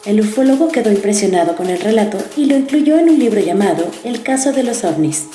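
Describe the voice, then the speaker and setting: A woman reading a scripted narration segment for a podcast in a measured, even way. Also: mid-range voice, soft background music. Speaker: podcast co-host. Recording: echoey room.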